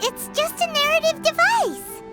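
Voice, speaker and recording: A woman's voice: extremely high pitch, animated, a little slow, over loud music. A woman voicing a tiny childlike floating companion in a video game, chirpy, eager and helpful. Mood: reassuring. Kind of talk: video game story voice acting.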